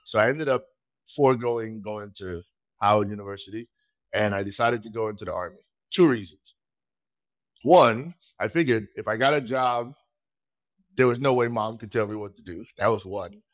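A man in his forties from Trinidad and Tobago, a podcast guest telling his story in a measured, polite way. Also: lowish voice, no room sound. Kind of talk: conversational storytelling.

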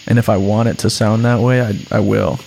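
A young man chatting in an easygoing, laid-back way. His voice stays very flat and even, with little rise or fall. A faint background noise sits under the recording. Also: fairly low pitch, brisk, creaky, low-quality recording. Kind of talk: casual chat.

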